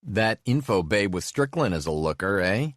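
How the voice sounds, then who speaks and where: A man voicing a loud, blustery middle-aged sheriff who shouts over engine noise. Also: on the low side, big pitch swings, normal speed, dry recording, clean studio sound, pitched above the performer's own voice.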